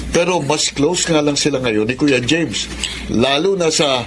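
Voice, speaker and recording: A noisy recording of an actor as a young Filipino brother-in-law with a fairly high male voice. He comes across breezy, slangy and slippery, in radio drama style. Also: unhurried, natural voice. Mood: breezy, eager. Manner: slangy, offhand.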